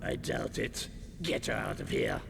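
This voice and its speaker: An actor playing a shady male underworld fixer in a video game, with a deep gravelly voice and a guarded, curt, menacing manner. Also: huge pitch swings, measured pace, fairly bright tone, full character voice. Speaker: character in a video game cutscene. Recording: game audio with long reverb and noise.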